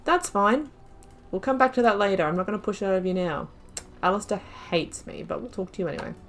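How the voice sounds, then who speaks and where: A woman's voice: medium pitch, fast. A woman hosting a let's play, chatting and thinking aloud as she plays, laughing often. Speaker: gaming channel host.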